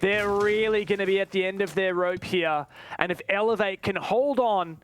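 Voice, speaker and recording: A man's voice: high-pitched, moderate pace, a bit bright, a little hiss or hum. A man commentating live on an esports match, mixing play-by-play with analysis.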